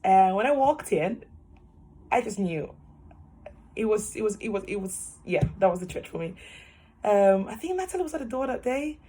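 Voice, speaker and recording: A woman's voice: medium pitch, moderate pace, long pauses. Cheerful woman in her 20s, laughing storyteller, webcam call from home, slight room hiss. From Cameroon. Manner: cheerful, laughs often, animated hands. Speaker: interviewee, student nurse. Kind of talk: casual personal story. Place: small room at home, indoors. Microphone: webcam on a video call.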